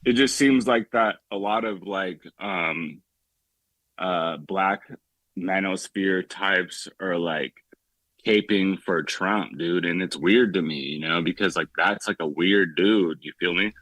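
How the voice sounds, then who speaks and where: A man's voice: sits low, slow, fairly bright tone, dry recording. A young man from the Bay Area calling in to a talk show, rambling casually and filling nearly every phrase with "like". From San Francisco Bay Area. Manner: rambling, heavy use of "like", many restarts. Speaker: caller. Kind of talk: casual call-in chat.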